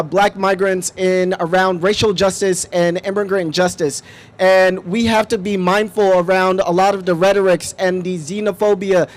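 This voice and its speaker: High-pitched young man speaking at a rally. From Somalia. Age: 20s. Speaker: community organizer, rally speaker. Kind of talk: rally speech.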